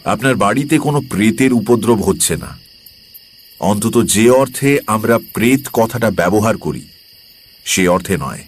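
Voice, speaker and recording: A man playing a calm, knowing occult priest who recounts a horror story in a measured, explanatory way. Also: on the low side. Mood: grave, explanatory. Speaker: narrator. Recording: long reverb, faint music behind.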